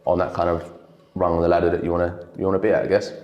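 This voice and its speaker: A man with a very low voice talks freely as an interview guest. He swears casually and laughs easily. The room around him sounds echoey. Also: little pitch movement, racing, dull audio. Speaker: guest, creative director.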